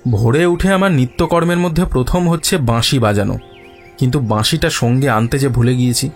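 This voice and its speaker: An actor playing a self-assured, sceptical male detective in an audio drama, reasoning in a measured, dryly ironic way. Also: medium pitch, natural voice. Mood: calm, confident. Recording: music behind the voice.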